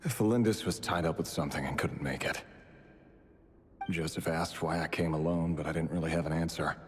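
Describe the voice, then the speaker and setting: An actor voicing a dry, grumbling man reading his private diary in a video game, in a measured, wry manner. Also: low voice, a little mellow, lightly acted. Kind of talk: video game voice-over monologue. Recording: long echoing reverb effect. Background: game music.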